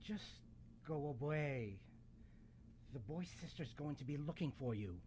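A man acting a grumpy, defensive broken toy, gruff and dismissive, in a student radio play. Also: on the high side, expressive, unhurried, darkish tone. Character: grumpy, defensive broken male toy, a loner hiding away. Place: college radio studio, live broadcast. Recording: clear background noise in radio studio.

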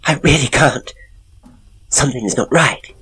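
A man voicing a skittish, anxious woman with a breathy, worried, questioning manner in a horror story. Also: mid-range voice, measured pace, a bit bright, pitched above the performer's own voice. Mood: agitated. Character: skittish younger woman, anxious sister and secret conspirator.

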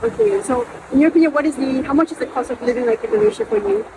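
A woman street interviewer with a high, mellow voice who talks quickly. She asks brisk, short questions. She is outdoors on a street, with faint ambient sound behind her. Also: animated, noisy background. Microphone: holding a handheld mic toward others.

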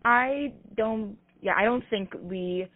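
A woman's voice: on the high side, slow, dry recording. A woman handling a customer service call, polite, careful and hesitant, over a phone line. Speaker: puppet theater phone receptionist.

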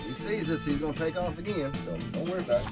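A man's voice: fairly high pitch, expressive, rapid-fire, over loud music. A man on an open fishing boat giving folksy, casual fishing advice.

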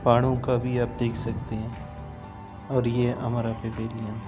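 Thirties man, travel vlogger, flat delivery, phone mic outdoors, music bed. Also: medium pitch. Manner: flat, steady and unhurried, with many repeats. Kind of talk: casual walking vlog. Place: outdoors at a cricket ground. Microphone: phone camera held at arm's length.

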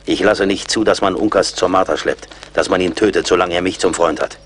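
A seasoned frontier scout, acted by a man with a very deep voice. He sounds loyal, resolute and wry, in a radio drama with faint ambience behind him. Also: fairly even intonation, fairly quick, a little hiss or hum, lightly acted. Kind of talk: German radio play acting.

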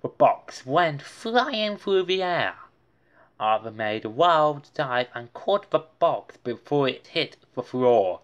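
A woman's voice: rumbling low, expressive, slow pace, muffled recording. A woman reading a children's storybook aloud as narration. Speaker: storybook reader.

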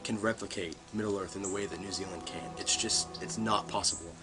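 A man's voice: deep voice, steady tone, fairly quick. A young man speaking earnestly to camera in a fan testimonial, seated in a glassed garden room. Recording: music under the voice.

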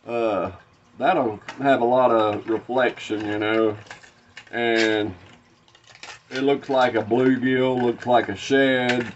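A man's voice: medium pitch, dull audio. A man in his thirties from Arkansas with a Southern accent, hosting a casual fishing-lure unboxing vlog. He talks in a rambling way, with many "uh" fillers and restarts, from a small tackle-filled room. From Arkansas, USA. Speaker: fishing channel host.

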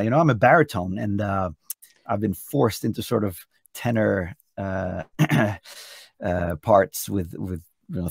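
A hoarse middle-aged Norwegian man talks about his music over a video call, his low voice rough and gravelly. He rambles eagerly, restarting phrases as he goes. The sound is dry and close. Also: darkish tone, no echo. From Norway. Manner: chatty, enthusiastic, frequent restarts. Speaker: singer and contest entrant. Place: hotel room indoors.

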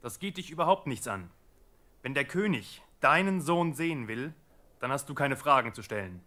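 A man's voice: mid-range voice, lively intonation, normal speed, bright, forceful tone. An actor playing a brusque, impatient king's servant giving orders in a biblical radio play. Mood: commanding. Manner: commanding, impatient. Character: brusque, imperious male servant of a tyrant king. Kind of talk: German radio play acting.

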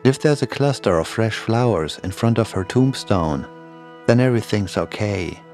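Guy doing scripted horror podcast narration, lowish voice, music behind him. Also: expressive, normal speed, a little mellow.

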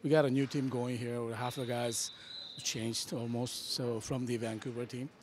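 A middle-aged man, a coach and native Japanese speaker, answering in halting phrases during a post-game interview into handheld mics at an indoor media backdrop. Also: medium pitch, little pitch movement, slight background noise. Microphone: handheld reporters' mics, close.

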